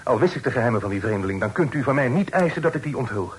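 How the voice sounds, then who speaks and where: A man's voice: medium pitch, expressive, racing, slightly rough. A man playing an earnest, honourable young son in a Dutch radio drama, protesting in formal, respectful speech. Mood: earnest, defiant. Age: young adult. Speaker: radio play actor. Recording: faintly noisy old radio play recording.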